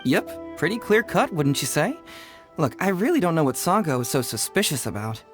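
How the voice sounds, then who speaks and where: A confident young detective from a video game. He talks in a smooth, teasing, glib way, laying out his reasoning with wry assurance, while music plays clearly behind him. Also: fairly high pitch, big pitch swings, normal speed. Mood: breezy, teasing. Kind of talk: English video game voice acting. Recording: studio voice under game music. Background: game background music.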